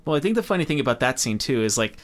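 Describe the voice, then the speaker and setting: Animated man joking about movies. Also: mid-range voice, rapid-fire. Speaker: podcast co-host. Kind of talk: casual joking film chat.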